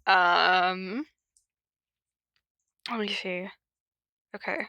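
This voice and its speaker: Bright-voiced, unhurried woman chatting casually. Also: fairly low pitch, steady tone, dry recording. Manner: giggly, self-mocking, informal. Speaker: podcast host introducing fan fiction readings. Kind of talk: casual chat with read-out summaries.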